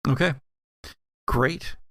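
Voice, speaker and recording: A man acting as game master, casually narrating a tabletop story and pausing mid-sentence. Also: sharp tone, no room sound, studio quality.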